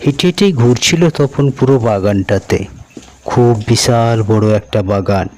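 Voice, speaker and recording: A man's voice: mid-range voice, measured pace, a little mellow. A man narrating a scripted cartoon story as its storyteller.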